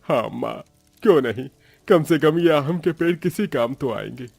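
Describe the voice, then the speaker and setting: A careworn middle-aged farmer played by a man in a village tale, kindly but full of lament. His earnest voice is on the high side for a man, close and dry over faint music. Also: lively intonation, normal speed, creaky, darkish tone, dry recording.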